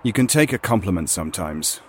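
A man's voice: deep voice, animated, brisk, slight background noise. A man playing a weary, stubborn veteran player of a surreal game, wry and conversational in a naturalistic audio drama. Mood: wry, resigned.

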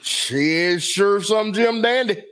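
A man's voice: high-pitched, takes it slowly, fairly bright tone, soft background music. A man playing a folksy, pushy turkey seller with a drawling rustic patter.

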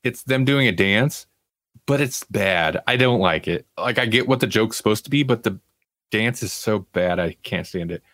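A man's voice: fairly low pitch, expressive, moderate pace, fairly bright tone, no echo. Casually rambling man with a dry, close sound. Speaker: podcast co-host reviewing TV series. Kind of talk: casual chat.

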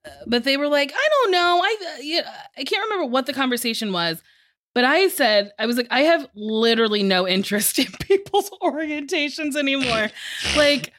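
Woman co-host, fairly high voice, dry close sound, blunt and profane, a little tipsy. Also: brisk, no echo. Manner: frank, swears freely, animated asides. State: tipsy from drinking. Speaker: podcast co-host. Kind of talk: casual chat.

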